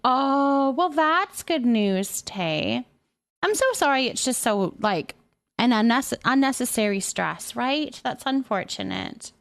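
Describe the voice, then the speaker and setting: High-voiced woman livestreamer chatting gently. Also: animated, takes it slowly, gravelly. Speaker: livestream host. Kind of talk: casual livestream chat, thanking viewers and reading their messages aloud.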